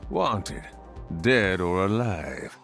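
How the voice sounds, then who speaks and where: An actor playing a cocky, wry cowboy gunslinger with a Southern American drawl in a video game. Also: male voice, low-pitched, sing-song, very slow, gravelly, a bit bright, faint music behind, full character voice. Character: laconic male cowboy outlaw gunslinger, gruff and wry. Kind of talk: video game hero voice lines.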